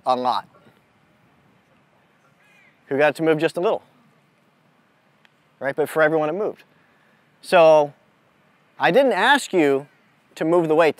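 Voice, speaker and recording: A man speaking as a mental toughness coach, giving a deliberate, instructive motivational lesson to a group out in the open. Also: fairly high pitch, no echo. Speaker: mental toughness coach leading a youth clinic. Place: outdoors on an amphitheater lawn.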